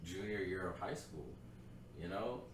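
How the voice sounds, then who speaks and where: Low-voiced man, off mic in a hollow room. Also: measured pace. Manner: opinionated, blunt, laughs easily. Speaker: podcast co-host. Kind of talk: casual chat. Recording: very echoey room, far from the mic, faint noise.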